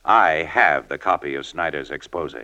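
Low-voiced, hard-edged American newspaperman. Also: moderate pace, pushed and bright, muffled recording. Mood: cool, needling. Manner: slangy, cool, needling. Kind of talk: 1940s radio drama acting.

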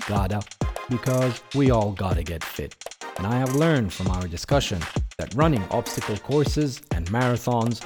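A man hosting a podcast, reading a scripted intro and then interviewing his guest with enthusiasm. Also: medium pitch. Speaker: podcast host and coach.

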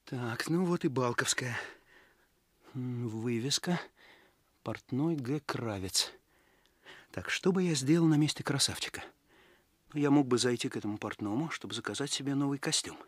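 An actor playing an eager, impulsive young detective in a radio play, exclaiming and thinking aloud. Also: male voice, medium pitch, moderate pace, pauses often, dry recording, natural voice. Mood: musing. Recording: noisy radio drama recording.

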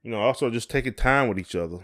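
A man's voice: medium pitch, quick pace, a bit bright. A man co-hosting a podcast in casual conversation, talking in a folksy way and often repeating his phrases. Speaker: podcast co-host.

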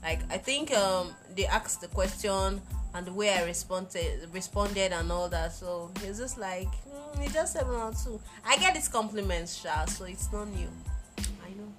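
A Nigerian woman co-hosting a podcast, chatting in an animated, playful way. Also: medium pitch, over music. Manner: animated, playful, quick bursts of laughter. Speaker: podcast co-host. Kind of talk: casual chat.

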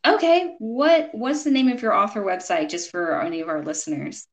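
Encouraging woman podcast host. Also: mid-range voice. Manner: friendly and encouraging, quick affirmations. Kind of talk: podcast interview.

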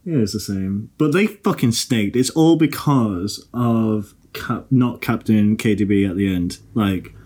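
A man's voice: on the low side, measured pace, hardly any pauses, a little mellow, slightly rough. A man, co-hosting a casual podcast, rambling and swearing freely between laughs. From Liverpool, England. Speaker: podcast co-host. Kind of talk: casual banter.

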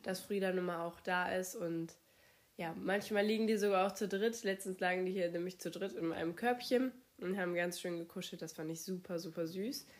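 A woman's voice: medium pitch, a little mellow. A young German woman hosting her podcast while she has a cold, her voice strained, chatting casually with many fillers. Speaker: podcast host. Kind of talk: casual solo podcast chat.

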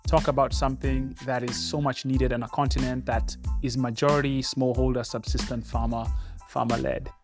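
An energetic man opens and runs a podcast interview, eager and talkative. His voice sits in an ordinary middle pitch. Music plays clearly underneath him. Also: normal speed. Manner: energetic, enthusiastic intro with repeated restarts. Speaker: podcast host.

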